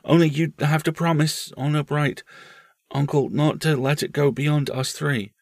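A storybook schoolboy, as a male narrator puts him on, pitched well above a man's talk. He is earnest and grave, careful with his secrets and polite to his elders. The sound is close and dry. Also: steady tone, a little slow, creaky, darkish tone, no echo. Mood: serious, secretive.

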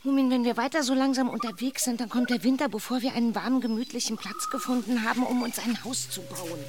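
A woman voicing a calm, caring troll mother in a children's radio play, gentle and reassuring. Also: fairly high pitch, fast talker, natural voice. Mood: urging. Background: music.

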